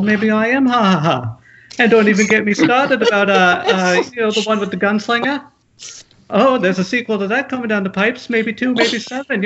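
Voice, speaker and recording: A man playing a frantic, high-pitched, coked-up novelist. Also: a bit bright. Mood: manic, boastful. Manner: frantic, rambling, repetitive, punchy exclamations, profane. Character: manic, coked-up, nervy 1980s horror novelist. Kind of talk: performed screenplay table read. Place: at home on a group internet call. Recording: muffled, noisy internet call with clicks.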